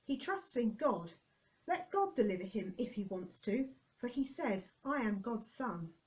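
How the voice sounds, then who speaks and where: Woman as higher-voiced, scornful religious leader. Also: very animated, a little slow, darkish tone, rough recording. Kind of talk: dramatised scripture reading.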